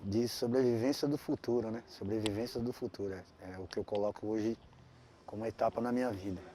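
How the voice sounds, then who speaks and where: A man tells his life story in a documentary interview. He speaks haltingly, often restarts his phrases and ends many of them with tag questions. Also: lowish voice, steady tone, a crowd in the background. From São Paulo, Brazil. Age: 40s.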